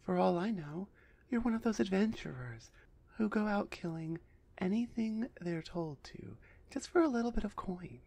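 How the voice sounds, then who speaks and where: A woman playing a big, haughty minotaur executioner in an ASMR roleplay audio drama, stern and dismissive, with a husky, deliberate delivery. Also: mid-range voice, animated, dark tone, no echo. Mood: suspicious, stern.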